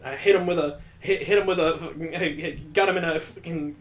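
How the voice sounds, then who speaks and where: A man's voice: on the high side, fairly even intonation, normal speed. A man on a stream reading a visual novel aloud, breaking off for crude, joking asides and mock sound effects.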